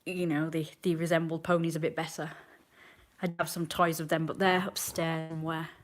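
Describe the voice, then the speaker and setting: A woman's voice: low-pitched, little pitch movement, normal speed. A woman in her twenties chatting casually on a live stream, rambling and answering viewers' comments as they come in. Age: 20s.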